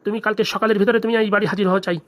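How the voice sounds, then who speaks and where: A woman acts out a middle-aged cartoon father. He rattles along very quickly at a middling pitch. He grumbles and blusters, though he is indulgent underneath. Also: level tone, highs cut off, lightly acted. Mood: stern, reproachful. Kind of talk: comic cartoon dialogue.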